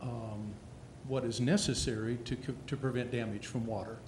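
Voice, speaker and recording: A man's voice: mid-range voice, steady tone, moderate pace, roomy sound, background noise. A man speaking hesitantly and rambling as a resident at a public meeting.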